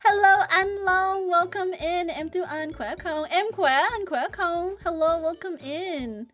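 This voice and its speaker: High voice woman streamer, giggly and sorry-sounding, faint music behind. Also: unhurried, fairly bright tone, muffled recording. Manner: giggly, apologetic, switches to Vietnamese for chat. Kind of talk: casual chat while streaming a game.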